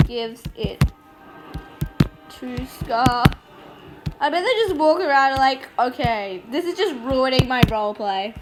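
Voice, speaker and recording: A woman's voice: sits high, lively intonation, takes it slowly, long pauses, over music, poor audio. A woman giving casual, playful and silly gameplay commentary, reading out her roleplay as she goes. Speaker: video game streamer.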